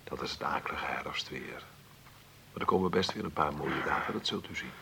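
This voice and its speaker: A man's voice: a bass voice, fairly quick, creaky, in a whisper. An actor playing a man in his forties in a Dutch radio play, soothing and coaxing his uncle with cheerful encouragement. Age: 40s. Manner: coaxing, reassuring. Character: forty-three-year-old nephew, soothing and cheerfully encouraging.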